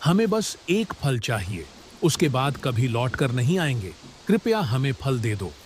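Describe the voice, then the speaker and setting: Casting: a bright, brave young villager, animated and earnest. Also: male voice, medium pitch, measured pace, a little hiss or hum. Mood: pleading. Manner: eager and polite, lively delivery. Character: clever, brave poor young village man. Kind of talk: cartoon voice acting.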